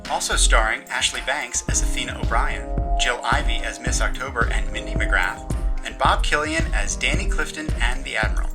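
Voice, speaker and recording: An announcer delivers scripted end credits with tongue-in-cheek asides. Music plays clearly behind the medium-pitched voice. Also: normal speed.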